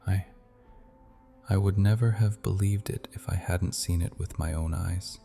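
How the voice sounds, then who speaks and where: An actor playing an ancient, amused vampire prince who speaks with courtly charm in an ASMR audio drama. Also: male voice, low-pitched, fairly even intonation, unhurried, gravelly, mellow, long reverb tail, faint music behind.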